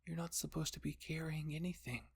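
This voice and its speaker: A man's voice: on the high side, little pitch movement, brisk, creaky, slightly rough, pitched above the performer's own voice. A man voicing a bossy but concerned young queen in a roleplay story, with a scolding, insistent manner.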